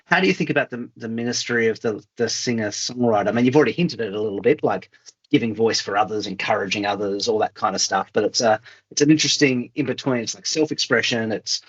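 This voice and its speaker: A man's voice: lowish voice, fast talker, slightly rough. A man hosting a casual interview, thinking aloud as he feels his way through winding, tentative questions. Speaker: interviewer, host. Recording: slightly dull, lo-fi conversation audio.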